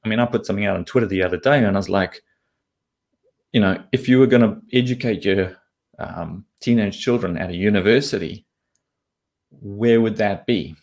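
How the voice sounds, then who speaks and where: A man's voice: on the low side, moderate pace, a little mellow. A man from southern Africa explaining his opinions as an interview guest, talking bluntly and informally with casual swearing. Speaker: interview guest, investment commentator.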